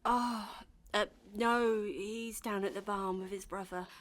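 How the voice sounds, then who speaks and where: A woman playing a hungover bride in an audio drama, halting, awkward and flustered. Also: medium pitch, fairly even intonation, lightly acted. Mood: awkward, polite. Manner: hesitant, halting. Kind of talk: naturalistic audio drama acting.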